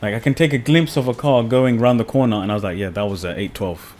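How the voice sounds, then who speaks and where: A young man from the UK, a podcast guest, talking in a relaxed, rambling way with many fillers. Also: mid-range voice, fast. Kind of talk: casual podcast conversation.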